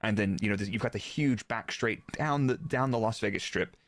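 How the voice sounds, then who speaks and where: A man with an American accent and a fairly low voice talks through technical material in a casual way. The sound is dry and close, and he tends to illustrate points with quoted dialogue. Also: fairly quick, dry recording. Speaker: guest, former Formula 1 performance engineer.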